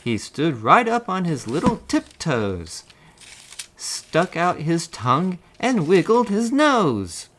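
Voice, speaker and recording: A man reading a children's story aloud in an expressive storytelling style. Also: a little high, takes it slowly, a little hiss or hum. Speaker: storybook reader.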